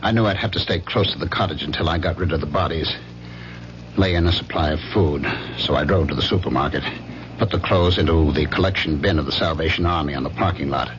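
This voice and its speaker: An actor playing a guilty, scheming husband in an old radio drama, speaking in a measured, deliberate way. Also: male voice, very deep voice. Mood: tense, deliberate. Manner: measured, deliberate first-person storytelling. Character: guilty, scheming husband recounting his murder cover-up. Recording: muffled, very noisy old radio recording.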